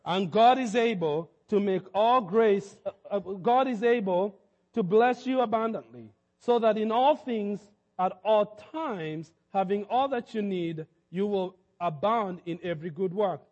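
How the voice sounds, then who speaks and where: A man's voice: high voice, a little slow, a little mellow, big-room sound, muffled recording. A man preaching as a pastor. He halts and repeats words often, then rises to emphatic peaks. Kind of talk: sermon.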